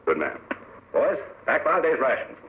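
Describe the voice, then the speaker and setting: An actor playing a steadfast Texas Ranger captain, brisk and authoritative, in an old-time western radio drama. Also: male voice, mid-range voice, very animated, natural voice. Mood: resolute, commanding. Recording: tinny, noisy old radio recording.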